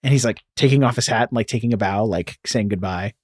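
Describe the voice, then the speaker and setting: A man's voice: fairly low pitch, fast, darkish tone, breathy edge, no room sound, studio quality. A man co-hosting a podcast, recapping comic panels in a loose, slangy, conversational way. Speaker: podcast co-host. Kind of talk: casual chat and read-aloud comic recap.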